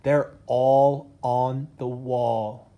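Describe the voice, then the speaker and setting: A man's voice: mid-range voice, slow pace, muffled recording, close to an everyday voice. A man reading aloud and voicing a weary, worried mother bear in a storybook, with a sighing, slightly grim manner.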